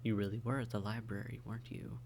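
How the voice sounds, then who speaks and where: A man acts out a suave male vampire with a lowish voice that often drops into creaky fry. He is condescending yet courteous, wryly teasing the listener in an intimate audio roleplay. A faint noise sits behind the voice. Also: level tone, fast. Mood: wry, conversational. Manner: polite, wry, articulate, teasing, with sighs and hushed asides.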